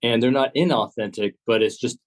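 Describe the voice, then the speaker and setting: A man from Texas, a former agent turned author, answering interview questions in a steady, measured way. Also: medium pitch.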